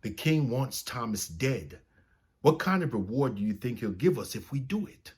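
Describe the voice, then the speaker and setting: A man's voice: medium pitch, big pitch swings, moderate pace, husky. A man voicing a scheming, greedy medieval knight in a storybook, in a conspiratorial manner. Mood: eager, conspiratorial.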